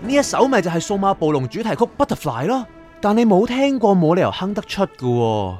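A man's voice: high voice, very animated, brisk, a little mellow, faint music behind. A man playing a curious, talkative soul in a radio drama, eager and wheedling as he explains things. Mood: curious, excited. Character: curious, talkative bargaining soul of an anime fan. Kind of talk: Cantonese radio drama acting.

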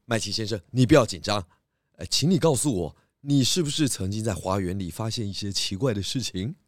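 Man playing a low-voiced, calm pig detective. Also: a little fast, no echo, clean studio sound, close to an everyday voice. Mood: reassuring. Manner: patient, courteous questioning. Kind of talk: children's audio drama acting.

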